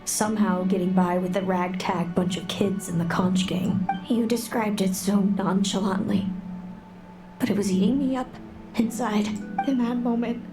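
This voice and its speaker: A woman's voice: mid-range voice, fairly even intonation, moderate pace, close to an everyday voice, poor audio. Video game seer played by a woman, young, brooding, formal and theatrical, lonely, music underneath. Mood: anguished confession, then tearful, tender. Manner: solemn, formal, theatrical phrasing. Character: brooding fatalistic young seer, resentful yet lonely older sister. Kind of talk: scripted video game voice acting. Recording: music playing behind the voice.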